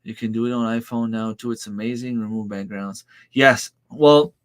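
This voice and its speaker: A man's voice: medium pitch, steady tone, normal speed, smooth. A man in his thirties from New Brunswick, a native French speaker with a French Canadian accent, chatting casually with viewers on his livestream in an easygoing, hesitant way. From Northern New Brunswick, Canada. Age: 30s. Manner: easygoing, hesitant, many fillers and restarts. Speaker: farm channel host. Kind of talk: casual livestream chat, answering viewer comments.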